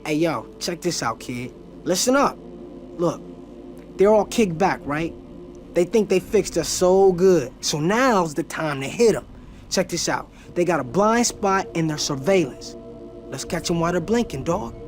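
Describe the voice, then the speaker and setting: Game cutscene role: young streetwise rebel, cocky, eager, slangy, over background music. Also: male voice, a little high, expressive, unhurried. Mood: insistent, cocky.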